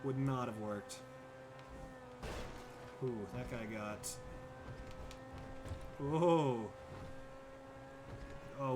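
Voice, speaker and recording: Relaxed slow-talking male streamer. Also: mid-range voice. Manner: relaxed, thanks viewers by name, thinks aloud with fillers. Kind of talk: casual livestream chat while gaming.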